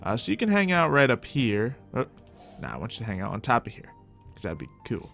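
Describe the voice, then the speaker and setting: A man with a middle-pitched voice hosts a gaming video over background music. He talks casually and off the cuff, thinking aloud and chatting to characters in the game as he roleplays. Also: wildly swooping pitch, brisk, vocal fry, a bit bright. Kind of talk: casual improvised Minecraft roleplay commentary.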